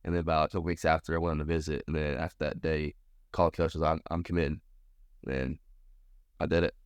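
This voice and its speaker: A man's voice: very deep voice, flat delivery, fast, clean tone, dry recording. An American man of about twenty giving casual, slangy interview answers as a college baseball player. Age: around 20. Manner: informal, slangy.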